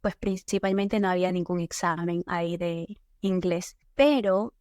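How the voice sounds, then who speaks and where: A woman's voice: lowish voice, normal speed, a little mellow, dry recording, clean studio sound. A woman from Guayaquil with an Ecuadorian Spanish accent, an oceanography PhD student, answering interview questions casually.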